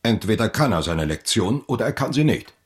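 Stern, low-voiced Victorian stepfather. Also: sing-song, breathy edge. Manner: firm, menacing, measured. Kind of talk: German radio play acting.

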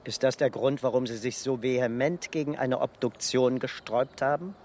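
An actor playing a sardonic, probing police detective in a radio crime drama, with a dry, needling manner. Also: male voice, mid-range voice, steady tone, moderate pace, darkish tone, lightly acted. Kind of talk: naturalistic German radio drama acting.

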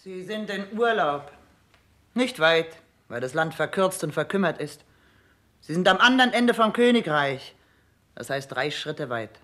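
An actress playing a plain-spoken, overworked palace maid who grumbles matter-of-factly in a 1960s radio play. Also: female voice, low-pitched, lively intonation, measured pace, a bit bright. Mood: matter-of-fact, amused.

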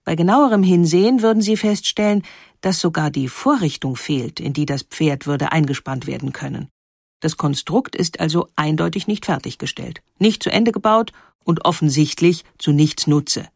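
A woman narrating a scripted historical story in a measured, articulate way. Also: deep voice, vocal fry, a little mellow, breathy edge, dry recording, clean studio sound. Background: music under the voice.

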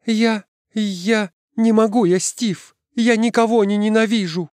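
A man voicing a timid, gentle, distressed girl in a story, halting and tearful. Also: sits high, fairly even intonation, no room sound, clean studio sound, pitched above the performer's own voice.

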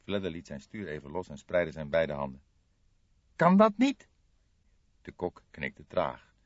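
A man's voice: rumbling low, normal speed, no room sound, lo-fi sound. A man reading a detective novel aloud as scripted audiobook narration.

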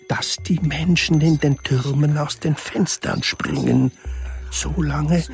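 A man narrating a radio drama in a grave, measured, solemn way. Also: on the high side. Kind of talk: slow, solemn literary narration.

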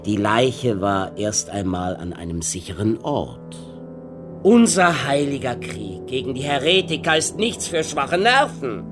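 An actor playing an arrogant, scheming and ruthless papal nuncio in a German radio play, haughty and vehement. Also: male voice, medium pitch, very animated, unhurried, music in the background. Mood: cold, self-righteous. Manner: haughty, vehement, erupting into shouts.

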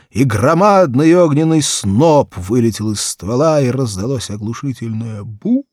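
A man narrating a children's story as an audiobook, theatrical and expressive, with dramatic pauses and emphasis. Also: on the low side, slightly rough, no room sound, studio quality.

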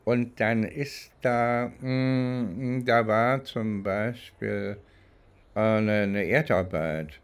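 Slow, halting older man. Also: on the low side, fairly even intonation, clear, resonant tone. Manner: halting, deliberate, searching for words. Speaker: interviewee recounting criminal cases. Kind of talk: unscripted reminiscing in a documentary.

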